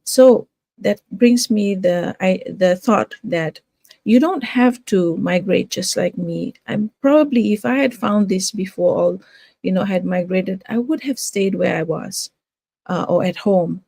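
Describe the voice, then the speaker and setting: Older Fiji-raised woman, casual promoter, halting delivery, warm and dry. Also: mid-range voice, gravelly, slightly rough, no room sound. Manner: hesitant, many fillers and restarts. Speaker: home business promoter. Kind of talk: casual talk to camera.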